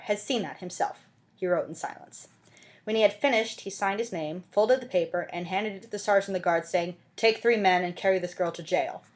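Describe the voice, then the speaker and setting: A woman reading a classic novel aloud as an amateur audiobook narrator, with dramatic scripted delivery. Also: mid-range voice.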